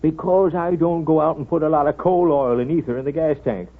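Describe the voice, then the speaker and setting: An actor playing a wry, folksy small-town doctor in an old radio drama, with an American accent and a drawling, gently scolding manner. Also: male voice, fairly high pitch, steady tone, fairly quick. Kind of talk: 1930s radio drama acting. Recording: muffled, scratchy 1930s radio broadcast.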